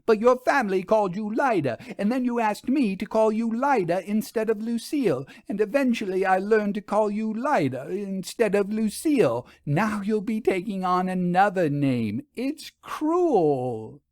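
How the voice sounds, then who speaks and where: A man's voice: high voice, animated, normal speed, few pauses, darkish tone, no room sound, clean studio sound, pitched above the performer's own voice. A man voicing a strong-willed, grudging, inquisitive woman ghost hunter with insistent questions, in an audio storybook. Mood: wounded, grumbling. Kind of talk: audio storybook character reading.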